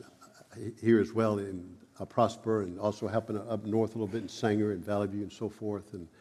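Guy leading church prayer, stutters a lot, kinda low voice, big echoey sanctuary. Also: a little mellow. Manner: stutters, with many repeats and fillers. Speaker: preacher. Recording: long hall reverb.

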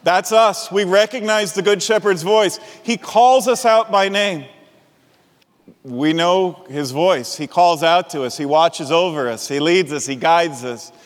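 High-voiced male pastor in a reverberant hall. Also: measured pace. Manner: animated storyteller, chuckles often. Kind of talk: sermon with personal storytelling.

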